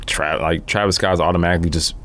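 A man in a casual, slangy conversation, speaking informally in halting phrases that often repeat. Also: sits low, fairly even intonation, fairly bright tone. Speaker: podcast guest. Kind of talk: casual chat.

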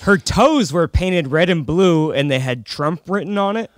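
Guy comedian on a podcast, highish voice, animated, halting self-deprecating bits with chuckles. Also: a little hiss or hum. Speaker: comedian, show guest. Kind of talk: casual comedic banter.

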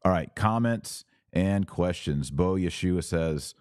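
A man's voice: low voice, takes it slowly, darkish tone, clear, resonant tone, no room sound, studio quality. An American man speaking as a podcast host, giving earnest, emphatic religious commentary that sits between a sermon and live-stream chat. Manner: earnest, emphatic, builds lists phrase by phrase.

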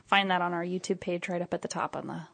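A woman's voice: on the low side, fairly even intonation, fast, gravelly, fairly bright tone, no room sound. A woman from the church staff chatting casually and explaining things in a friendly, matter-of-fact way. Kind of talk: casual chat.

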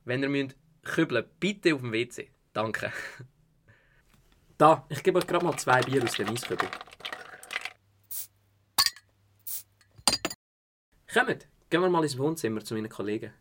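A man's voice: medium pitch, lively intonation, moderate pace, a bit bright, a little hiss or hum, natural voice. Breezy teenage party host acted by a young man. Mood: casual, offering. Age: young adult. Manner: breezy slang with English words. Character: sociable, laid-back rich teenage party host. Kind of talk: amateur radio drama dialogue.

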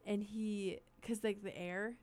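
Young woman co-host, chatty, giggly, lots of "like", mid-pitched voice. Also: fairly even intonation, slow, darkish tone. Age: young adult. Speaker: college radio co-host. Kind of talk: casual chat.

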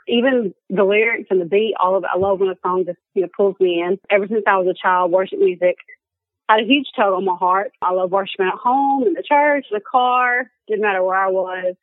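A woman's voice: medium pitch, fairly even intonation, a little fast, no room sound. A young woman with an Alabama accent, a guest chatting casually and laughing often. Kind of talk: casual chat. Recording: tinny phone line, dry.

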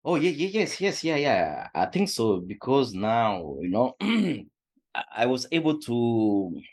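A man's voice: medium pitch, big pitch swings, takes it slowly, a little mellow. A middle-aged man from Congo, not a native English speaker, answering interview questions with many hesitations and restarts. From Democratic Republic of the Congo. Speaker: food shelf supervisor.

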